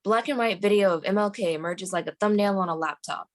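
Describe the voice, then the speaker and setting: Woman narrating a screenplay, medium pitch. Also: fast talker. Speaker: narrator reading screen directions. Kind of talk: scripted narration at a table read.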